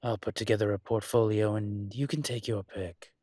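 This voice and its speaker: A man playing a suave, teasing dragon mafia boss in a scripted romantic audio roleplay, speaking deliberately. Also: on the low side, flat delivery, a little mellow, dry recording, studio quality, lightly acted. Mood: warm approval.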